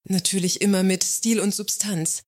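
A woman's voice: mid-range voice, fairly even intonation, moderate pace, a little mellow, no echo, close to an everyday voice. Woman playing a self-assured works councillor, dry sound. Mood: confident, pointed. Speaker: actor in satirical radio play. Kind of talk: German radio play acting.

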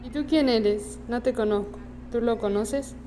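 A woman plays a cheeky, defiant schoolgirl in a radio play. Her voice is fairly high and sassy, in a reverberant recording with faint noise. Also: measured pace, dark tone, close to an everyday voice. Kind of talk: amateur radio play acting.

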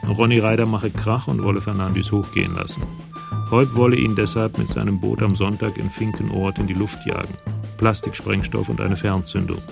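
An actor narrating a radio crime play soberly and matter-of-factly. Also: male voice, lowish voice, fairly even intonation, normal speed, gravelly, over music.

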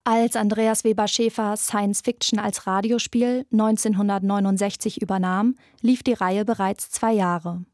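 A woman's voice: mid-range voice, steady tone, normal speed, hardly any pauses, darkish tone, no echo, studio quality. A young woman in her twenties presenting a scripted podcast narration. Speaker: presenter, radio drama intern.

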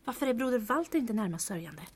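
A woman playing a calm, sensible, sceptical character in an audio drama. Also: a little high, slightly rough, no room sound. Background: music.

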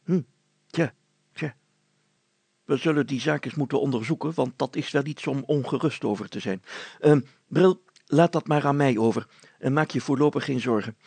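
A man voicing a pompous, fussy older village mayor in a storybook, formal, deliberate and full of hesitant hemming. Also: medium pitch, darkish tone, slightly rough, no echo. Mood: pondering, reassuring.

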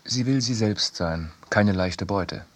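A man reading a scripted literary essay for radio in a measured, deliberate way. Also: on the low side. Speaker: reader in a radio production.

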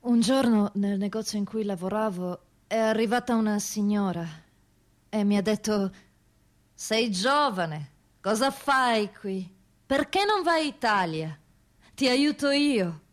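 A woman playing a young trafficked migrant woman, telling her hardship in a measured radio-theatre monologue with short halting phrases. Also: medium pitch, expressive, close to an everyday voice. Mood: reported urging.

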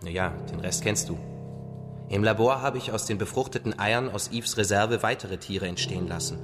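An actor playing a patient, solemn father-like survivor who tells stories gently and with measured phrasing in a radio drama. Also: fairly low pitch, over music, close to an everyday voice. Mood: reflective. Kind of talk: radio drama acting, storytelling.

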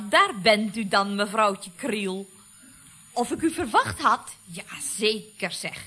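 A woman's voice: medium pitch, sharp tone. A woman playing a chatty, knowing office secretary in a radio comedy, confiding and mock-soothing. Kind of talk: 1970s Dutch radio comedy acting.

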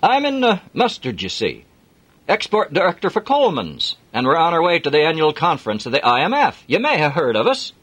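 A man doing a booming Yorkshire businessman. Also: wildly swooping pitch, a little fast, a bit bright, breathy edge, heavily acted. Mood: hearty. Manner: booming, talkative, hearty. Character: jovial chatty middle-aged Yorkshire businessman.

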